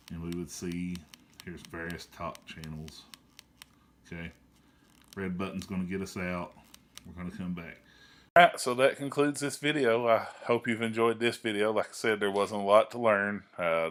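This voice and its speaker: A man's voice: deep voice, fairly bright tone. A man hosting an amateur radio tutorial in a casual, unscripted way.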